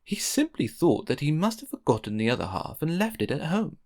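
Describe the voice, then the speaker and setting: A man narrating a children's storybook aloud in an expressive read-aloud style. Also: a little high, brisk. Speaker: storybook reader.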